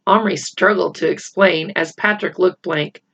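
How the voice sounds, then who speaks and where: A woman reading a children's book aloud as narrator, unhurried and expressive. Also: lowish voice. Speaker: storybook reader.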